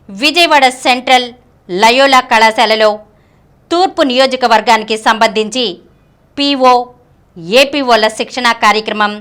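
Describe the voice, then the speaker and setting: A woman reading a news bulletin in a steady, formal newsreader manner. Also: a little high, clean tone. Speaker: news reader.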